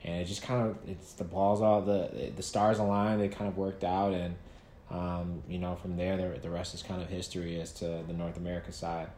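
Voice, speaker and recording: A man in his thirties from Nigeria, a guest talking casually about his life, relaxed, with many fillers and restarts. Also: low voice, normal speed. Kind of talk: casual interview storytelling. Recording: echoey room with faint noise.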